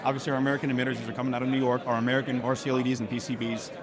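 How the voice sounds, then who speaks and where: Fast-talking man in a loud crowd. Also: medium pitch, little pitch movement. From Turkey or of Turkish family. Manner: informal sales talk with business jargon. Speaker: optics company owner at a trade show booth. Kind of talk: casual product interview. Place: trade show floor. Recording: busy crowded convention hall noise. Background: loud crowd chatter.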